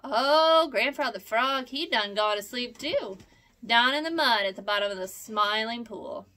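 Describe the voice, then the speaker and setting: A jolly old possum with a drawling, folksy way of talking, teasing and chuckling as he speaks, put on by a woman reading a storybook aloud. The voice sits fairly high and sounds very bright and forceful. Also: lively intonation, a little slow.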